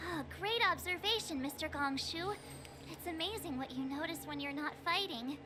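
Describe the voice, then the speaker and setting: Woman acting a very high-pitched perky girl adventurer. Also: normal speed, background noise, close to an everyday voice. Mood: impressed. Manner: bubbly, excitable. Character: perky, eager young girl adventurer, upbeat. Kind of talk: video game voice acting.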